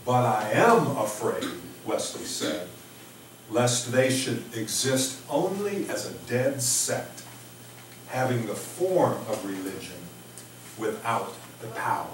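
Middle-aged American man as a pastor: unhurried, reflective delivery with wide pitch swings, speaking informally to a congregation. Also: sing-song. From Oklahoma, United States. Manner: halting, reflective, with repeated words and emphatic pauses. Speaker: pastor preaching. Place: small church sanctuary, indoors. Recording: echoey church, distant microphone, faint noise.

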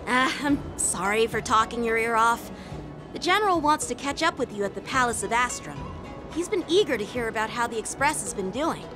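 A woman's voice: on the high side, animated, brisk, music in the background, close to an everyday voice. A woman playing an earnest, dutiful young boy lieutenant in a video game, polite and composed. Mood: apologetic.

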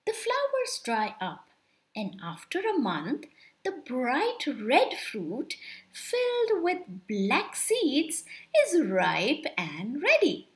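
A young woman reads a children's picture book aloud expressively and deliberately, drawing out words. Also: high-pitched. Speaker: storybook reader for children.

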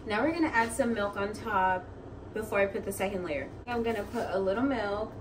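A woman's voice: mid-range voice, fairly quick. A woman in her twenties casually narrating a home cooking vlog, recorded in a kitchen from a few steps away. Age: 20s. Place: small home kitchen, indoors. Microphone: camera or phone a few steps away. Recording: distant mic, noisy kitchen ambience.

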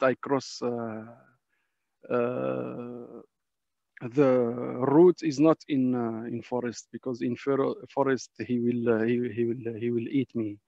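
A man who is a non-native English speaker gives informal testimony as a panel speaker, haltingly searching for words in broken English on a muffled video call. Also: medium pitch, creaky, a bit bright, no echo. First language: not English. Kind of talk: informal spoken testimony in a webinar. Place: on a video call from a dim space.

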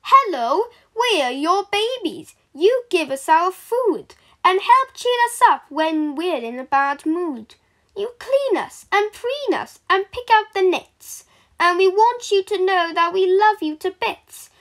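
A woman's voice: very high-pitched, lively intonation, slow pace, a bit bright, voice pushed up, heavily acted. A woman voicing a chorus of small baby animals, in a sweet, sing-song rhyming way. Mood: adoring. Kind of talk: reading a rhyming picture book aloud.

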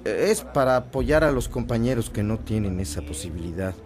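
An actor playing a warm-hearted, earnest young seminarian in a radio drama, with a persuasive, urging manner. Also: male voice, lowish voice, lively intonation, normal speed, soft background music, lightly acted.

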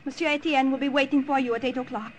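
Actress as a high-voiced French Resistance woman. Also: steady tone, fast, natural voice. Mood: earnest. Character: earnest, devoted young French Resistance woman. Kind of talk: 1950s radio drama acting. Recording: noisy old radio recording.

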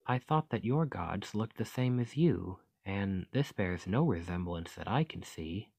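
ASMR roleplay: courteous, fascinated scholar-archaeologist, played by a man, fairly low pitch, articulate and thoughtful, dry recording. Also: lively intonation, a little slow, gravelly, a little mellow, breathy edge, dry recording, heavily acted. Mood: kindly, earnest. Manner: formal, articulate, musing delivery.

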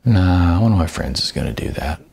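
A man's voice: sits low, vocal fry, slightly rough, pitched below the performer's own voice, exaggerated voice. Man putting on a sluggish, dismissive young stoner guy. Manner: slow drawl, flat and dismissive. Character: young male stoner club owner, sluggish and unenthusiastic.

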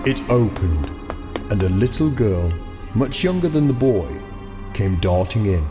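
A man narrating a scripted audio drama as a storyteller, in a measured manner. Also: on the low side, very animated. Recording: long reverb, music behind.

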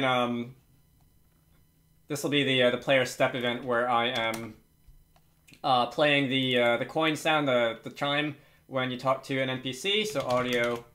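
A man with a mid-pitched voice hosts a screen-recorded programming tutorial. He talks informally and somewhat hesitantly, thinking aloud as he works. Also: bright, forceful tone. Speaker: tutorial host. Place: indoors at a computer, screen recording with typing.

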